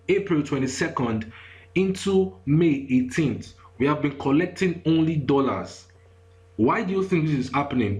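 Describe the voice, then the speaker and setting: A man's voice: on the high side, rough recording. A man with a Nigerian accent talking through screenshots in an online lesson, repeating himself and constantly asking if listeners follow. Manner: repetitive, keeps asking "you get me?". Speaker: online course instructor.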